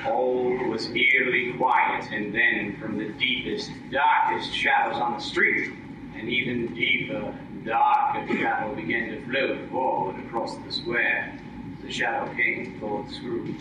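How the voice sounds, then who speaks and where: A man's voice: medium pitch, steady tone, measured pace, muffled recording, background noise. Dramatic male narrator in an echoey space. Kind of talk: dramatic scripted radio storytelling. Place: live stage performance, far from the mic. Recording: echoey distant live recording, music behind.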